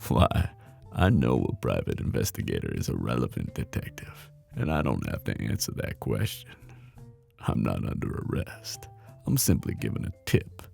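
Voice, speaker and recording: A man playing a flirty, menacing mob boss in an intimate ASMR roleplay, with a teasing, cocky drawl and a deep, gravelly voice. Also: very animated, normal speed, darkish tone, soft background music, full character voice. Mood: smug, businesslike.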